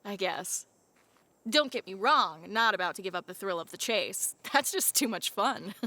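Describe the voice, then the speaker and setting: A woman's voice: medium pitch, animated, a little slow, bright, forceful tone. A woman voicing a guarded young half-genie woman in an audio drama, with dry, sarcastic banter. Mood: wry. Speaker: voice actor. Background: faint background music.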